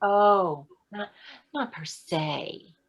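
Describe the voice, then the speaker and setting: A woman acting in a staged play reading as a confrontational, sarcastic woman who defends her friend with pointed, deliberate words. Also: mid-range voice.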